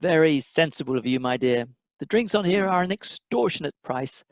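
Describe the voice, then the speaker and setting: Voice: medium pitch, animated, moderate pace, no room sound, lightly acted. An amateur actor playing a pompous, thrifty English retired civil servant husband, fussy and superior, in a radio comedy. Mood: pompous, satisfied.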